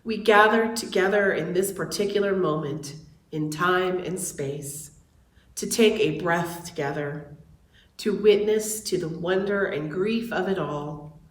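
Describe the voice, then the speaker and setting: A woman giving a measured, formal welcome and call to worship as a church's religious education director. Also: lowish voice, echoey room, rough recording. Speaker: director of religious exploration and seminary student.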